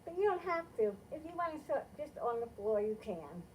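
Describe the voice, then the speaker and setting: High-voiced woman leading children's storytime. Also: big pitch swings, brisk, distant mic, a little hiss or hum, poor audio. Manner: simple, instructive phrasing aimed at small children. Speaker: children's library storytime host. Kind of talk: read-aloud picture book and simple science explanation for young children.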